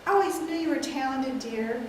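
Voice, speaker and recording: A woman reading the part of a sweet, genteel elderly aunt, gentle and matter-of-fact, in an echoey room far from the mic. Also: high voice, brisk, slight background noise, natural voice. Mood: placid.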